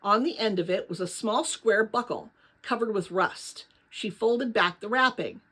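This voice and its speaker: A woman narrating a children's novel aloud in an expressive storybook style. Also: mid-range voice, moderate pace, breathy edge.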